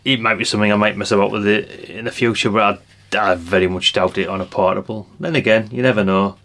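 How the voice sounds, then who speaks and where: A man's voice: on the low side, steady tone, fast, creaky, fairly bright tone. An older man giving a casual hands-on product demonstration, chatty and unscripted, thinking aloud as he goes. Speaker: presenter of a radio gadget review channel.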